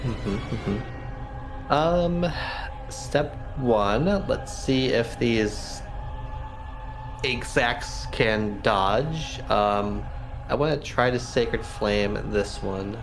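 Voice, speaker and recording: A man's voice: medium pitch, extremely slow. A man chatting casually as a player in a tabletop roleplaying game, talking through a turn. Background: game background music.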